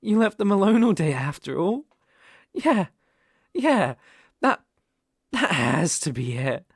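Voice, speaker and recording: A man's voice: high-pitched, sing-song, takes it slowly, breathy edge, no room sound, heavily acted. A British man playing an obsessive, possessive young kidnapper in an intimate ASMR roleplay, coaxing and menacing in a condescending way, close on the microphone. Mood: anxious, self-soothing.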